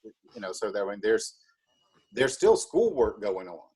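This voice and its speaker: A man's voice: on the low side, very animated, measured pace, dark tone, husky. An American man, a drama teacher, chatting casually as an interview guest in a rambling, wry, easygoing way.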